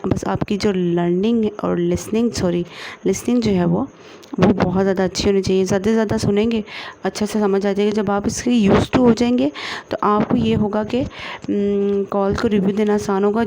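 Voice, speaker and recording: A Pakistani woman gives an informal tutorial in a mellow, fairly flat voice. Her delivery is halting and padded with repeated filler phrases. There is light background noise with some clicking on the recording. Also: medium pitch, rough recording. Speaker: tutorial presenter. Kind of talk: informal screen-share tutorial.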